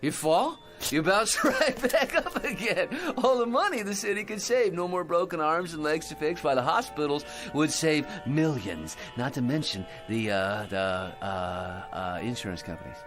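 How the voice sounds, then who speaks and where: A man's voice: a little high, expressive, normal speed, few pauses, a bit bright, soft background music. An actor playing a tipsy, over-eager barfly bore in a radio drama, slurred and giggly, rambling and stammering as he repeats himself. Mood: tipsy, chatty.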